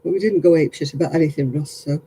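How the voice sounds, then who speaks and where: A man's voice: a little high, racing, creaky, dark tone, slight background noise. A man chatting casually with viewers while crocheting on a livestream, self-mocking and laughing often. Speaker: craft livestream host.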